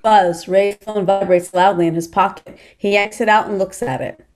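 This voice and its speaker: A woman's voice: medium pitch, fairly even intonation, normal speed, darkish tone. A woman reading stage directions as scripted narration in a table read.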